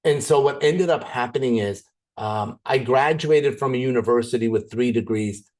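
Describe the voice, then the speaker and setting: A man's voice: mid-range voice, normal speed, a little mellow. A man from Canada, probably in his thirties, giving a chatty, joking business presentation over a video call. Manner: chatty and joking, goes off on tangents.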